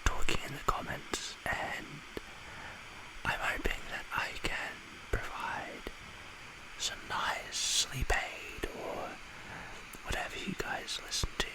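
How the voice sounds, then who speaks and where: A man whispering to his viewers as an ASMR creator, speaking in a halting, sincere way. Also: poor audio.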